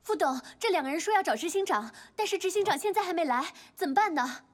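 Very high-pitched young assistant. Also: female voice, lively intonation, fast talker. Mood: flustered. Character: flustered, deferential young office assistant. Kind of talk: TV drama acting.

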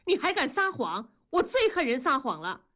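Sharp-tongued, suspicious wife and mother played by a female narrator, animated, nagging, audio storytelling. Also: a little high. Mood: angry, scolding. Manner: nagging, quick to accuse.

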